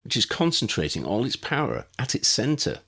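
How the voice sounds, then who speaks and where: A man voicing a calm, fearless adult male wizard investigator in an audiobook, casual and reassuring. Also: mid-range voice, normal speed, creaky, voice pushed up, full character voice.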